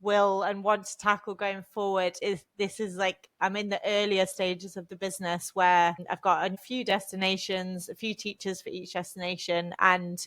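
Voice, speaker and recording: Female podcast guest with a level, medium-pitched delivery, informally thinking through her answers. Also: flat delivery, moderate pace, few pauses, a bit bright. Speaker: interview guest, language business founder. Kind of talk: conversational interview.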